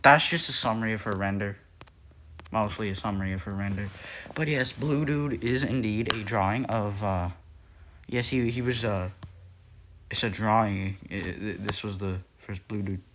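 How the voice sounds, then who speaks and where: A man's voice: on the low side, moderate pace, a bit bright, a little hiss or hum. A young man talking casually in a video, rambling with many fillers, restarts and self-corrections. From Nepal. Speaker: youtuber. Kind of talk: casual lore video narration.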